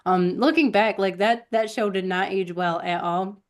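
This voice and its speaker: Woman just chatting on a livestream, rambly, kinda pokes fun at herself, medium pitch. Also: normal speed. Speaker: live stream co-host. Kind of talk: casual unscripted live stream chat. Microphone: online live stream.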